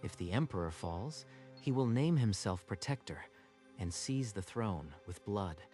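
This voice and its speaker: A man voicing a solemn hooded guardian leader in a manga dub, grave, reverent and measured. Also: lowish voice, expressive, warm tone, faint music behind. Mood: grave warning. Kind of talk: dramatic manga dub acting.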